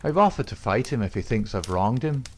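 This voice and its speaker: A man's voice: mid-range voice, brisk, a little hiss or hum, pitched above the performer's own voice, natural voice. Man voicing a cool, cocky young outlaw. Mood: defiant. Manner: calm, coolly defiant.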